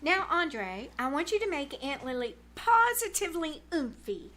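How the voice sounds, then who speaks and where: A woman's voice: high-pitched, sing-song, moderate pace, loud music behind the voice. A woman playing a gushing, bossy teenage girl in a live 1940s-style radio comedy, with theatrical, emphatic delivery. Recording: live small-theater stage recording, background noise.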